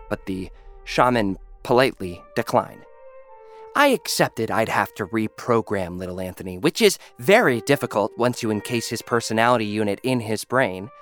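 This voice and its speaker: A man narrating a first-person science-fiction audio drama in a dry, deadpan manner. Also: medium pitch.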